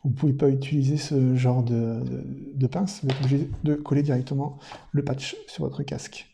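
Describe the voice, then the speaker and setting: A man's voice: mid-range voice, fairly even intonation, normal speed, gravelly, dark tone, a little hiss or hum. A man presenting a product unboxing in casual, unscripted commentary, thinking aloud somewhat hesitantly.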